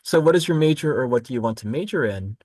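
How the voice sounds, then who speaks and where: A man from Florida who teaches college literature, walking students through a course overview in a relaxed, explanatory way with frequent ums and self-corrections. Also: mid-range voice, fast talker, no echo. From Florida, United States. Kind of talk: recorded course overview lecture.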